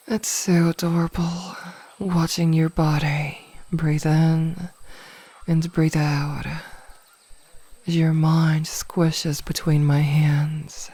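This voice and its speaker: A low, velvety-dark female mage played by a woman in a hypnotic ASMR fantasy roleplay, possessive and smugly sweet. She takes her time with every phrase, coaxing and often whispering, very close to the microphone. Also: slightly rough, no echo, exaggerated voice. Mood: teasing, doting. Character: possessive, smugly teasing adult female mage toying with a captive burglar. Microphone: close microphone, dry.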